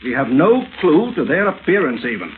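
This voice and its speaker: Casting: protective older friend, fairly high, very animated voice, old radio drama. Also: male voice, normal speed. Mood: concerned, reluctant. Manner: earnest, paternal, emphatic. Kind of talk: 1930s-40s radio acting. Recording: tinny old-time radio recording with hiss.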